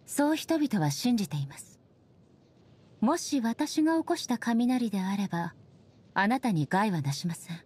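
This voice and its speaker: Game role: ageless warrior goddess ruler, highish breathy voice, formal, solemn, measured delivery. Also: female voice, very animated, darkish tone. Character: solemn, dignified ageless shogun goddess, stern yet softly sincere. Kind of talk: scripted game character voice lines.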